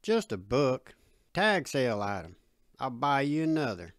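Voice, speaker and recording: Woman putting on a gruff, low country dad. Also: sing-song, no echo, clean studio sound, exaggerated voice. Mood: easygoing. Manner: folksy, slangy, drawn-out phrases. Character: gruff, folksy, beer-drinking country father, middle-aged man.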